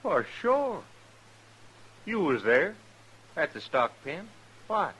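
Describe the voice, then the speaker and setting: An actor playing a sly, rough-spoken cowboy villain with a folksy drawl in an old radio western. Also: male voice, on the high side, sing-song, takes it slowly, a bit bright, exaggerated voice, rough recording. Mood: casual, probing.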